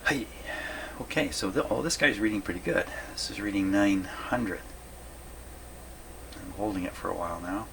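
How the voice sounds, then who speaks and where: A man's voice: on the low side, normal speed, creaky, pauses often, heavy background noise. A man giving casual, folksy workbench commentary as a hobbyist host, musing aloud to himself. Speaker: radio repair hobbyist hosting a video.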